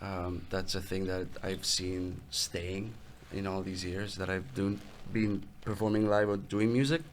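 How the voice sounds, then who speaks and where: A young adult man from Italy with an Italian accent, a guest musician talking casually in an interview, relaxed and halting as he restarts his phrases. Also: low-pitched, slight background noise.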